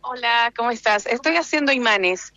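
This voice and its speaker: Venezuelan woman, craft vendor interview, bright voice, friendly, eager, slightly muffled radio sound. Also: a little high, lively intonation, normal speed, no echo. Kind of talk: casual interview chat. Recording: dull radio remote sound.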